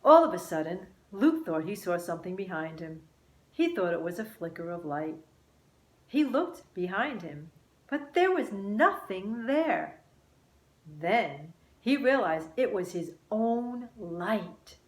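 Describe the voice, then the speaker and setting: A woman narrating a children's story aloud in an expressive storytelling manner. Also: medium pitch, takes it slowly, echoey room, low-quality recording.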